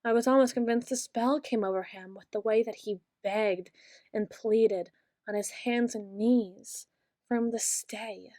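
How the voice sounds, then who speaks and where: Female performer as a young queen, soft-spoken and bitter, unhurried, for an ASMR roleplay, close mic, no room echo. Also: a little high, steady tone, lightly acted. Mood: wistful. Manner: soft-spoken, slow and musing, turning bitter. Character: brooding young queen, bitter toward her late father.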